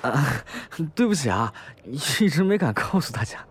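A man playing a lively-pitched, polite young man. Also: normal speed, slightly rough. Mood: sheepish. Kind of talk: modern audio drama acting.

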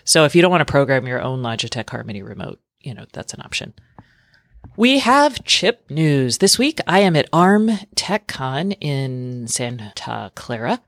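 A woman with a notably low voice hosts a casual tech podcast. She talks informally and chattily, and the sound is dry and close, with very little room. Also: a bit bright, no echo. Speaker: podcast host.

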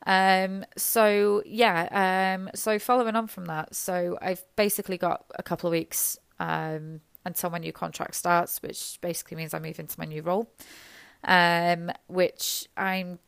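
A woman, a nurse, talking in a halting, rambling, self-deprecating way, her voice hoarse and gravelly from illness. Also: fairly low pitch, flat delivery, no room sound. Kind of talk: reflective personal monologue.

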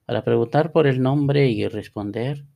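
A man teaching a Spanish lesson on a podcast, reading example phrases slowly and deliberately. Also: mid-range voice, creaky, warm tone. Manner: slow, deliberate, reads model dialogues aloud. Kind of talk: scripted language lesson podcast.

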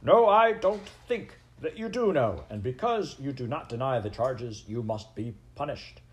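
A man's voice: lowish voice, moderate pace, darkish tone, lightly acted. A man voicing a stern, pompous head mouse who declaims imperiously in a storybook reading. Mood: stern, outraged.

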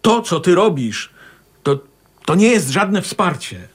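An actor playing a middle-aged husband and father in a naturalistic radio drama, husky and reproachful, with pointed, probing questions. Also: sits high, big pitch swings, slow pace, heavily acted. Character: calm, needling husband and father of a teenage daughter.

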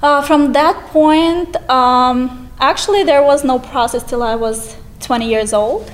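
A woman's voice: sits high, measured pace, a little hiss or hum. A woman in her twenties with a Russian accent, a guest on a podcast, talking cheerfully and laughing often. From Eastern Europe. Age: 20s. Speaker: guest, real estate agent. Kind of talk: casual interview chat.

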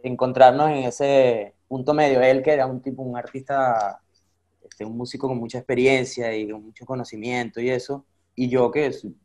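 Relaxed chatty man. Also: mid-range voice. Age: adult with a child. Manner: relaxed, chatty, many fillers like "este". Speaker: guest. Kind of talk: casual conversation.

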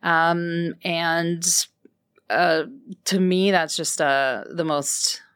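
Russian-native woman guest, smooth lowish voice, thoughtful and hesitant, dry close mic. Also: steady tone, drawn-out words, fairly bright tone, no echo. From Ukraine. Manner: thoughtful, many ums, laughs lightly. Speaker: guest, fashion brand founder. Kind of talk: casual interview chat.

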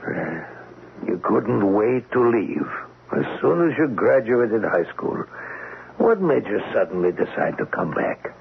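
Older man, fussing kindly uncle, low gravelly voice, radio drama on a tinny, noisy old recording. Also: big pitch swings, takes it slowly, exaggerated voice. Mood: probing. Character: kindly, fussing older uncle who runs a neighborhood cafe. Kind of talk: vintage radio drama acting.

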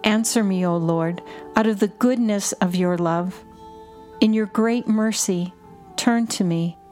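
A woman with a mid-range voice reads as a prayer guide, very unhurried and deliberate. Her phrases come short and measured, in a contemplative devotional style. Music plays beneath her voice. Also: mid-range voice, darkish tone. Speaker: prayer guide and podcast host. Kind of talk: slow, repetitive guided contemplative prayer reading. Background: music under the voice.

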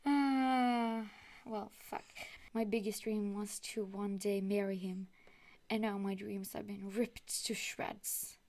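A young woman reading a fanfiction aloud with friends, deadpan and swearing often. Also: medium pitch, slow. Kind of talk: casual comedic reading.

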